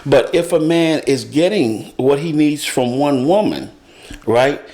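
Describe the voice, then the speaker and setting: A middle-aged man in a casual panel discussion, speaking haltingly and repeating his words as he thinks. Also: a little high, expressive, darkish tone. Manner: halting, repeats words, often says "you know". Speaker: panelist on a talk show.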